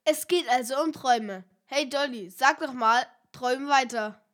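A woman's voice: sits high, normal speed, a bit bright, faint music behind. A woman playing a cheeky, impatient young co-host in a children's radio play. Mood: teasing.

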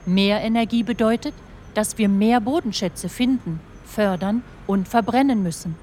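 A woman voices a matter-of-fact explainer in an educational audio play. Her voice is medium-pitched, with faint vehicle noise behind it. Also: normal speed, a little mellow, lightly acted. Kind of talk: scripted educational narration.

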